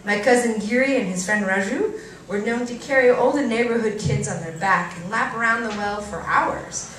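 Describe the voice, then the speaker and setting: A woman reading a first-person story aloud at a live event, expressively and theatrically, with deliberate pauses and varied emphasis. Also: fairly high pitch, fairly bright tone. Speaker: reader performing a short story at a live event. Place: live reading in a cafe venue. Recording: echoey room, distant mic, faint noise.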